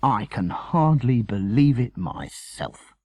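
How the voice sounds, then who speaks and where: A man voicing an excitable, self-absorbed storybook father, jubilant and breathy, drawing out his words. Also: medium pitch, slow pace, no room sound, clean studio sound, heavily acted. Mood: triumphant.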